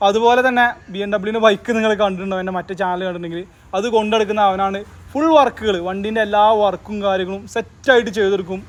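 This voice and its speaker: A man's voice: sits high, fairly even intonation, racing, few pauses. A man hosting a tech channel, explaining a product unboxing in casual chat and tagging his sentences with a friendly "you know".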